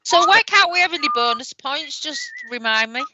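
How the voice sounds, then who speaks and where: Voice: high voice, animated, moderate pace, dull audio, heavy background noise. A quiz player bantering playfully with the hosts on a call. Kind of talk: casual chat on a video call.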